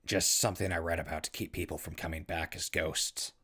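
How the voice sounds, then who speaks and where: A man playing an easygoing, friendly ghoul radio broadcaster in a post-apocalyptic audio drama, husky and gravelly, talking in a casual way. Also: deep voice, flat delivery, brisk, pushed and bright, exaggerated voice. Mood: subdued, earnest. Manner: casual, conversational.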